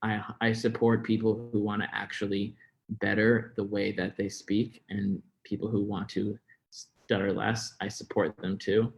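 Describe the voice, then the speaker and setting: A young American man speaks in a low-pitched voice with noticeable fry. He stutters and hesitates, repeating words. His delivery is informal and candid, as a guest being interviewed. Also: little pitch movement, unhurried, rough recording. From Florida, United States. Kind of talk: candid personal interview talk.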